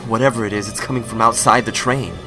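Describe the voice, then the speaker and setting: An earnest young soldier played by a male actor in a visual novel. His voice is on the low side, considerate and a little hesitant, with loud music behind it. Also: steady tone, quick pace, lightly acted. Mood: uneasy. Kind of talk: visual novel character acting.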